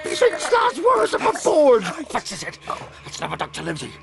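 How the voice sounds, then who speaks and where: A rough, suspicious pirate crewman. The voice is very high for a man and creaky, leaping about in this radio drama. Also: wildly swooping pitch, moderate pace, over loud music, heavily acted. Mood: frightened.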